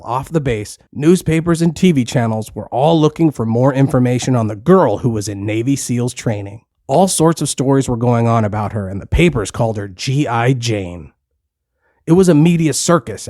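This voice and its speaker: A man narrates a children's story in a plain storytelling manner. His voice sits at a middle pitch, and the recording is close and dry, with no room around it. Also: a little mellow, no room sound.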